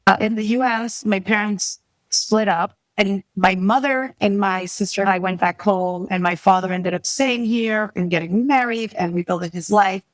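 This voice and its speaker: A woman with a mild Venezuelan accent, speaking as an interview guest. She tells her story in a measured, candid way, at a middle pitch. The recording is dry and close. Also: dry recording, rough recording. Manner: measured, candid, recounting memories. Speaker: guest, venture capitalist. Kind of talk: reflective personal storytelling.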